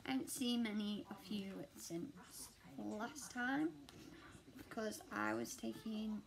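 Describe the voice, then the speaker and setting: A woman in her thirties with a slightly croaky voice, chatting casually at home about her stitching projects in a rambling, self-deprecating way. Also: mid-range voice, slow pace, crowd noise. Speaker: cross-stitch vlogger. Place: living room at home, seated on a sofa.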